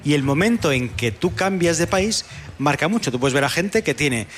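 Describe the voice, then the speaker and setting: A man's voice: a little high, expressive, normal speed, a bit bright. A man in a casual discussion, explaining ideas and often restarting his phrases as he goes.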